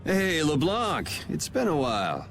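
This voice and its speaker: A man's voice: on the high side, huge pitch swings, unhurried, soft background music, heavily acted. An actor playing a cool, laid-back, cocky young swordsman in a video game. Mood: wry.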